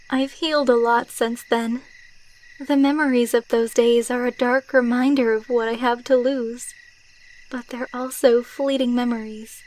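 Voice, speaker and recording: Woman playing a slow, soft-spoken, wistful vampire girlfriend. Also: sits high. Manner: soft, intimate, unhurried, sentimental. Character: tender, wistful immortal female vampire girlfriend. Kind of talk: ASMR roleplay.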